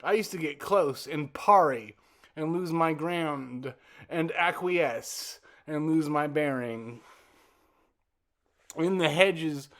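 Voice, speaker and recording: A man reciting his own poem as spoken word, in deliberate, broken phrases with halting pauses and repeated words. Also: a little high, fairly bright tone. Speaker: poet reciting own work.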